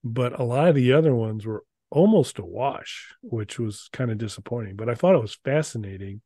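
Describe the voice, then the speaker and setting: A man's voice: medium pitch, lively intonation, fairly quick, dark tone, dry recording. A middle-aged man hosts in a casual, unscripted way. He rambles and stops and starts with a wry touch. The sound is dry and close, with little room in it. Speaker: co-host of a retro gaming show. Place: small indoor room.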